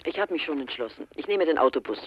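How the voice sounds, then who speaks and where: An actress playing a cool, bitter, estranged wife in a radio play, speaking in a brisk, matter-of-fact and pointed way. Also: female voice, deep voice, expressive, lo-fi sound, a little hiss or hum.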